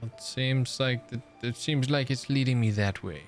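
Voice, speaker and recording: A man's voice: mid-range voice, moderate pace. A man hosting a gaming video, casually commenting and reading game text aloud as he plays. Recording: game music behind the voice.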